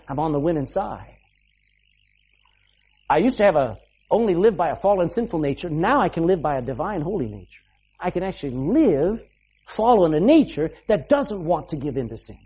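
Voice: medium pitch, dark tone, husky. A preacher giving an emphatic sermon, asking the listeners rhetorical questions and punctuating points with "amen".

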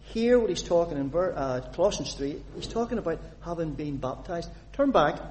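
A man from Northern Ireland preaching a sermon, putting questions to the congregation and reading scripture aloud in an animated way. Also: a little high, normal speed, a little hiss or hum. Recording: long hall reverb.

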